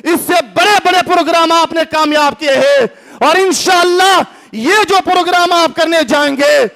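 High-pitched man giving fiery rally speech. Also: normal speed, gravelly. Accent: Pakistani Urdu. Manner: impassioned, rising to shouts, rhetorical questions. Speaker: religious party speaker. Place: large packed hall, indoors. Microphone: lectern mic.

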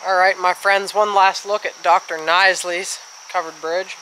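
A man in his thirties chats with his viewers in a bright, forward voice while holding the camera at arm's length. He explains what he sees as he walks along a creek outdoors. Faint crickets and insects hum in the background. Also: high-pitched, little pitch movement, normal speed, low-quality recording. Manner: chatty, explains as he goes, addresses viewers as friends. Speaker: travel vlogger. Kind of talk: casual walk-and-talk vlog. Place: outdoors by a covered bridge and creek. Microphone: handheld camera at arm's length.

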